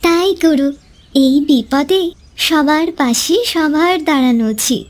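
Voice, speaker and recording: A woman voicing a small, kind and caring female cartoon bird in an earnest, gentle way. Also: high-pitched, unhurried. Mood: concerned. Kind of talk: scripted cartoon dialogue.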